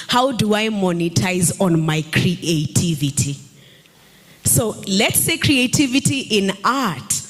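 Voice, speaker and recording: A woman in her thirties on a summit panel, coaching the audience on personal branding and asking them questions. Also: mid-range voice. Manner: engaging, asks the audience questions. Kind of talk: panel talk to a live audience. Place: stage at a summit. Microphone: handheld mic.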